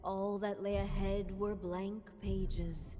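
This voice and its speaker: A woman playing a gentle, solemn storybook narrator in a video game, reading in a measured way. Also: medium pitch, little pitch movement, natural voice. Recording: long reverb, faint music underneath.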